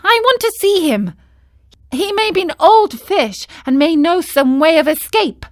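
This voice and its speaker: A frightened wooden puppet boy from a children's storybook, as a woman reader puts him on. His voice jumps high and loud, breaking into sobbing cries. He sounds eager and desperate to escape. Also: sing-song, measured pace, no room sound, studio quality, heavily acted.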